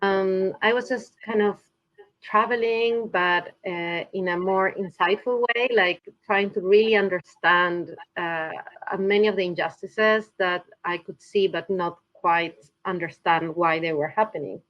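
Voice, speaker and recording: A woman's voice: medium pitch, steady tone, a little slow, dull audio. A woman, speaking remotely as a guest in a podcast interview, thoughtful and often restarting as she searches for words. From Peru. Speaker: guest climate researcher. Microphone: video call.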